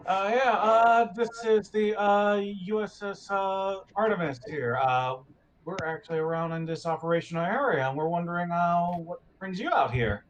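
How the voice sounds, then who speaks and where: A man's voice: high voice, normal speed, pitched above the performer's own voice, natural voice. A man playing a laid-back spaceship crewman in a tabletop roleplay, talking casually and hesitantly with some background noise.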